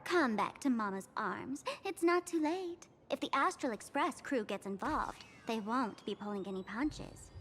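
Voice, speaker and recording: High, animated young trickster girl. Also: unhurried, few pauses, slight background noise. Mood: mocking. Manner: playful, dramatic, taunting. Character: theatrical, mischievous young female masked trickster. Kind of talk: anime-style video game voice acting. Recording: game music clearly behind voice. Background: video game music.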